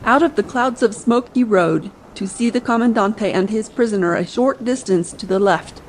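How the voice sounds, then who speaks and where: A woman's voice: a little high, moderate pace, a little hiss or hum, poor audio. A woman narrating a scripted adventure audiobook.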